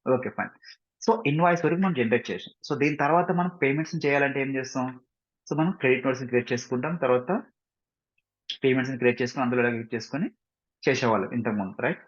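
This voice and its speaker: An Indian-accented man talks quickly through a software tutorial in a slightly husky voice. He explains step by step in an informal way, slipping in English phrases and often checking that learners follow. The recording is dull and lo-fi. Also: medium pitch. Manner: repeatedly checks understanding with short questions. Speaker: software instructor. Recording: dull, muffled lo-fi microphone.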